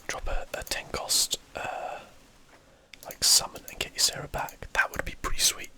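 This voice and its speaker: A person whispering ASMR game commentary in a breathy voice, hosting and musing aloud over each move. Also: moderate pace, bright, forceful tone. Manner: musing aloud, thinking through moves. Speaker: host of a gaming stream.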